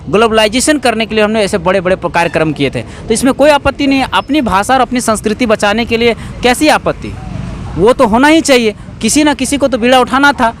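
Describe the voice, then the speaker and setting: High-pitched Jharkhandi man, emphatic interviewee. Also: a bit bright, a little hiss or hum. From Jharkhand, India. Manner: emphatic, repeats phrases, asks rhetorical questions. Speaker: activist being interviewed. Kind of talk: explanatory interview answers on camera.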